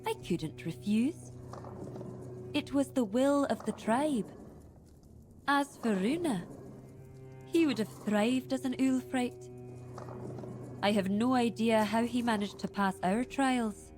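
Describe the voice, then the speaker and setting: Slow talking fantasy game chieftain lady, proud n guarded, formal wording, bit of music behind. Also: on the high side, darkish tone. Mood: resigned, wary. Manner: deliberate, formal, weighty phrasing. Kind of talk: dramatic fantasy game dialogue.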